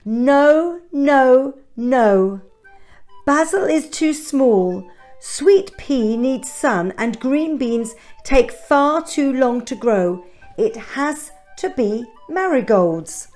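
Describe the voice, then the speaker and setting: Woman acting out a bossy little schoolgirl, raised pitch. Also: lively intonation, very slow, darkish tone, faint music behind, heavily acted. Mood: cross, insistent. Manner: demanding, emphatic. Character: bossy, insistent young schoolgirl.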